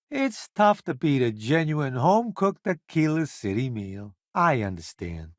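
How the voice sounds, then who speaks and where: A man's voice: a little high, no room sound, clean studio sound. A man playing a vain, flamboyant columnist turned space crewmate in a video game, with a wry, theatrical, smug delivery. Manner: theatrical, wry, smug banter with drawn-out emphasis. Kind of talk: video game companion dialogue.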